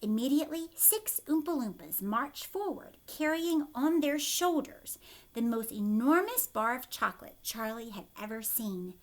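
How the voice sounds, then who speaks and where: Female storyteller for young children: teacherly, explanatory asides, expressive delivery, lots of pitch movement. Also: a little slow. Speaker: teacher reading aloud.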